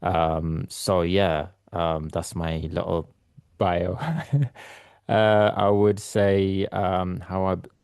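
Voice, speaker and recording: Very deep gravelly man, flat and rambling. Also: drawn-out words, dry recording, clean studio sound. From born in Ethiopia, raised in London. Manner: rambling, many ums and restarts, soft laughs. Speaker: guest photographer. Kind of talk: casual interview chat. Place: remote call from London.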